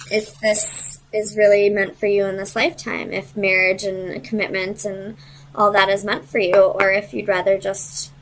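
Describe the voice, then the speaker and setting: Lady tarot reader, normal pitch, chatty and informal, kinda hesitant, figuring out what to say out loud. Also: slight background noise, rough recording. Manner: hesitant, searching for words, thinking aloud. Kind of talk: casual conversational tarot reading.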